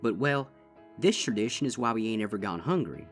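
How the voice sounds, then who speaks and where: A man's voice: fairly low pitch, lively intonation, fairly quick, soft background music, pitched above the performer's own voice, natural voice. A man voicing a stern, protective older-sister farm pony with a drawl. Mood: solemn. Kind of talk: fanfic audiobook reading.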